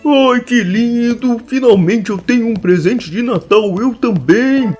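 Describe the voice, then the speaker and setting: A woman voicing an excitable, exclamatory Santa Claus in a children's cartoon. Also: fairly high pitch, unhurried, soft background music, lightly acted. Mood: delighted, curious. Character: jolly, excitable, easily frightened male Santa Claus.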